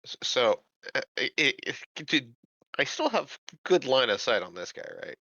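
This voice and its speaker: A man's voice: medium pitch, very animated, moderate pace, sharp tone, no room sound. A man chatting casually as a player in a tabletop game, with hesitant, stammered phrasing. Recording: slightly muffled dry voice recording.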